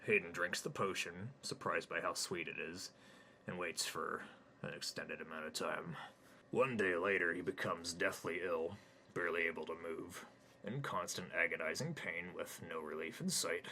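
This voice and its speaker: A man with a deep yet bright voice narrating his own comic story, flat and matter-of-fact. A faint hiss sits under his reading. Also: normal speed, creaky. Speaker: narrator and author.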